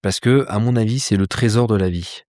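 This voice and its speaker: Man, lowish voice, animated casual talker, lots of fillers, dry close sound. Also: brisk, dry recording. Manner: animated, swears casually, many fillers and restarts. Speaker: polar explorer guest. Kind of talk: casual interview chat. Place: outdoors with a view of a landscape.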